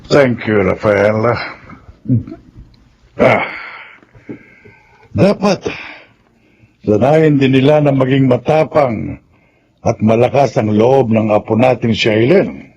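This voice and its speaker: An actor playing a mild, reasonable elderly grandfather in a Filipino radio drama, gravelly and measured. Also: medium pitch, animated, a little mellow, exaggerated voice. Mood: mild, thoughtful. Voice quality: gravelly, raspy aged voice. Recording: dull lo-fi radio recording.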